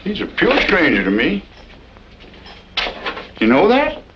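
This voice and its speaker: A folksy, nosy old-west neighbor rambles wryly in an American Western drawl. His fairly high voice swoops freely up and down. It comes through a muffled, noisy old radio recording. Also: huge pitch swings, slow, heavily acted. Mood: curious, hedging.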